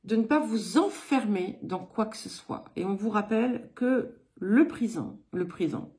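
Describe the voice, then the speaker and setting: A woman from England giving a card reading in French with a slight English accent, speaking in an unhurried, hesitant way with many pauses. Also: mid-range voice, lively intonation, mellow. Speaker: card reader giving a weekly guidance. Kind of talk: slow spoken tarot-style reading.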